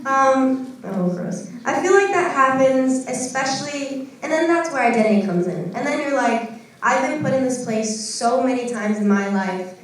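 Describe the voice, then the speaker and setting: A woman's voice: high-pitched, lively intonation, normal speed. A young woman in a casual discussion who talks chattily and fills her speech with "like". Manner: chatty, heavy use of "like". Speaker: audience participant. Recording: echoey hall from far off.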